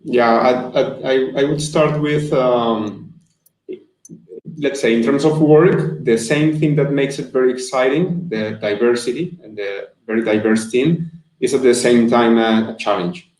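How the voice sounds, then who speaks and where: A man's voice: mid-range voice, measured pace, darkish tone. Man in an echoey room. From Colombia. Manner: earnest and thoughtful, with frequent restarts. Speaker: guest, marketing manager. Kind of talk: interview answers on a live stream. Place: room indoors. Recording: echoey room on a video call.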